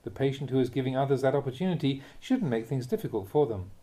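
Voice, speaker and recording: A man with a mellow, soft-edged voice reads a spiritual teaching aloud as a monk would. His phrasing is measured and deliberate, in short clauses, and his mid-pitched voice rises and falls expressively. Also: animated. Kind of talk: read-aloud dharma talk, audiobook narration.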